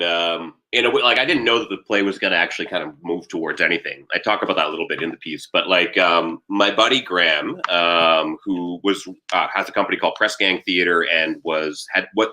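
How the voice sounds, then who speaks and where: Animated, bright-voiced man with wry delivery. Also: deep voice, low-quality recording. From Trinidadian. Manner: wry, irreverent. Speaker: playwright and performer, interview guest. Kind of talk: animated solo storytelling and casual chat.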